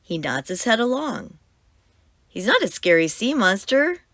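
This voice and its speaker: A woman reading a children's book aloud as host, with expressive, emphatic storytelling. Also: mid-range voice, a little slow, dry recording, studio quality.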